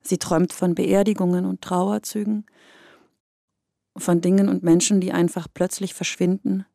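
A woman narrating a personal audio piece in an intimate, reflective, measured manner, from a script. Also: lowish voice, level tone, gravelly, warm tone, no echo, studio quality.

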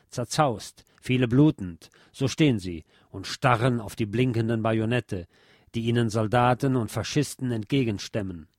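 A man reading a literary text aloud with expressive, emphatic delivery. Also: mid-range voice, no room sound, studio quality.

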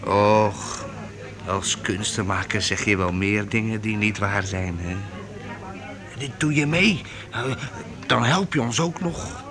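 A man's voice: fairly low pitch, takes it slowly, a crowd in the background. An actor playing a kindly, persuasive young vagabond performer in a radio drama, eager and tripping over his words.

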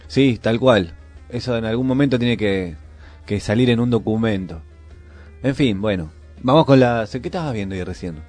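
A man's voice: mid-range voice, moderate pace, a little mellow, faint music behind. Argentine man bantering on the radio. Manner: slangy, bantering. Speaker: radio show host. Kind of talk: casual chat.

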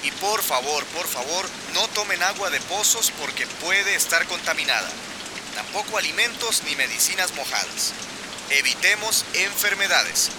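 An actor playing an earnest, measured local radio announcer reading emergency bulletins, with a Central American Spanish accent. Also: male voice, high-pitched, lively intonation. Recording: very noisy, rain over the voice.

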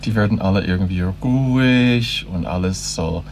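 A man's voice: sits low, moderate pace, faint music behind. A man playing an earnest, slightly naive musician in a naturalistic radio drama, with a questioning, hesitant manner. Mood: earnest, wary.

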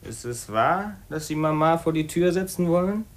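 A man's voice: fairly high pitch, expressive, normal speed, natural voice. A man acting a drunken, hot-tempered young cousin in a 1950s German radio drama, insolent and blustering. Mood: hostile. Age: young adult.

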